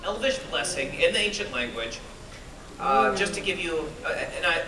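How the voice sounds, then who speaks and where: High-voiced man in an echoey hall. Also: expressive, normal speed, sharp tone. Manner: playful and self-mocking, with jokes and laughs. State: jet-lagged. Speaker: author reading at a fan event. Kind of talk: live talk and reading to an audience. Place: convention hall with an audience. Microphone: phone or camera recording from the audience. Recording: echoey hall, voice from far off, noisy.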